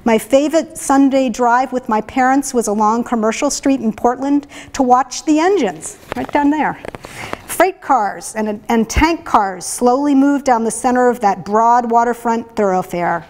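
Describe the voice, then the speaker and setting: A woman's voice: a little high, measured pace, darkish tone. A woman artist giving an informal talk in a gallery, reading excerpts aloud with frequent fillers and restarts. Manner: chatty, hesitant, many fillers and restarts. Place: art gallery before an audience.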